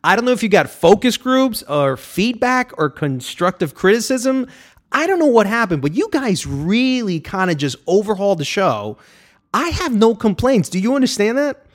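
A man hosting a talk show, speaking emphatically and effusively with frequent quick restarts, born in New York and partly raised in Colombia. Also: sits high, big pitch swings. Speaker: host and critic. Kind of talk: opinionated radio talk.

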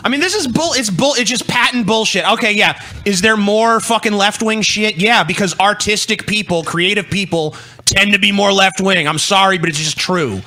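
A man's voice: high-pitched, normal speed, pushed and bright. A man co-hosting a podcast with blunt, sarcastic, profanity-heavy political commentary. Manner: blunt, argumentative, sarcastic, swears heavily. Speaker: podcast co-host.

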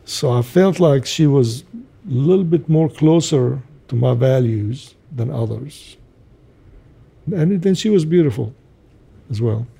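Man, 60s, Syrian Arabic accent, Arabic native, earnest, very dark, gravelly. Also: mid-range voice, animated, measured pace. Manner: earnest, reflective storytelling. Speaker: interviewee, father. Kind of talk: interview recollection.

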